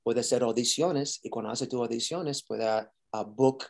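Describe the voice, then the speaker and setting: A man's voice: mid-range voice, unhurried, gravelly. A man, an actor, answering interview questions casually, mixing Spanish and English with many fillers and restarts.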